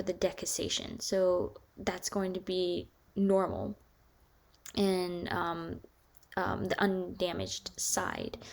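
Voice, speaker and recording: A woman lecturing casually on hearing and balance, with a higher voice and many fillers and restarts. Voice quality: higher-pitched voice.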